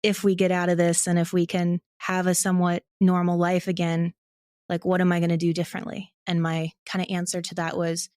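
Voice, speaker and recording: A woman's voice: lowish voice, completely flat delivery, a little fast, darkish tone, no room sound. An American woman from Ohio giving a candid, reflective and casual interview as a creator. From Ohio, United States. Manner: candid, self-reflective, laughs while talking. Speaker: interview guest, audio drama creator.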